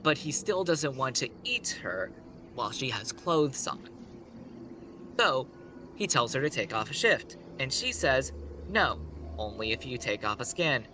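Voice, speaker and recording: A man's voice: mid-range voice, big pitch swings, unhurried, pushed and bright, a little hiss or hum, poor audio. A man telling a story casually on a live stream, rambling with conversational asides.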